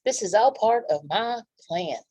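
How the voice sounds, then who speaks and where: A woman voicing excitable, eager animal neighbors from a children's storybook, with exclamatory delivery. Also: medium pitch, measured pace, no room sound, voice pushed up. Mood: plucky.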